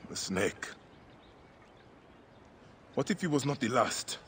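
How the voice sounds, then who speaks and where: Grim, slow-spoken warrior. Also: male voice, mid-range voice, sing-song, fairly bright tone, a little hiss or hum. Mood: troubled. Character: grim, brooding ancient warrior husband seeking revenge. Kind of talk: dramatic video game cutscene acting.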